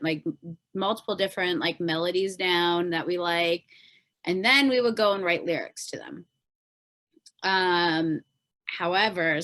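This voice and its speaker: A woman's voice: lowish voice, fairly bright tone. A woman with a Canadian accent speaking as an interview guest, informal and rambling, often trailing off. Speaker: podcast guest, pop singer-songwriter. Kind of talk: casual interview chat.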